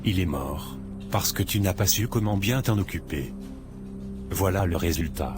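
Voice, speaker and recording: A cruel cartoon husband, acted by a man. He orders and insults with cold contempt, over clearly audible music. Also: low-pitched, animated, moderate pace. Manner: harsh, commanding, insulting. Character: cruel, contemptuous husband throwing out his wife. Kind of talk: animated moral drama acting.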